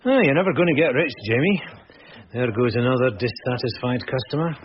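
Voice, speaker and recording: An actor playing an easygoing police detective sergeant in a radio drama, dryly and understatedly teasing. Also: male voice, medium pitch, lively intonation, fairly quick, natural voice. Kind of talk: naturalistic radio drama acting. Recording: muffled, faintly noisy old radio recording.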